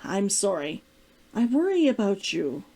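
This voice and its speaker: A woman reading aloud as a protective, gently teasing man in a storybook, measured and probing. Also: medium pitch, very animated. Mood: apologetic. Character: concerned, teasing adult man, protective old flame. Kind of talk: storybook character dialogue read aloud.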